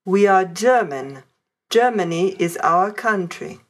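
A woman reading example sentences in a language lesson, slow and even. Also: medium pitch. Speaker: course audio reader.

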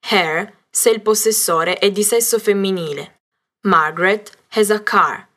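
A woman's voice: medium pitch, pushed and bright. A woman narrating a language lesson, carefully spelling out words. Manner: slow, carefully spelled-out reading.

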